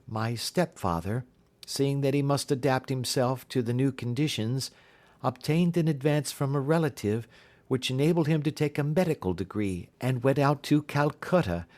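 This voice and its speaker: A man voicing a frightened young gentlewoman who pleads for help in formal Victorian speech, in an audiobook. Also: mid-range voice, natural voice. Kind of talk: audiobook character voice.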